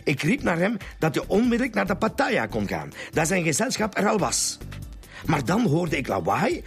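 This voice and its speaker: An actor playing an evasive rooming-house keeper in a radio drama, with a Flemish accent and a defensive, halting manner. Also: male voice, fairly high pitch, huge pitch swings, gravelly, soft background music, heavily acted. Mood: nervous, halting. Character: evasive male rendezvous-house keeper under police questioning.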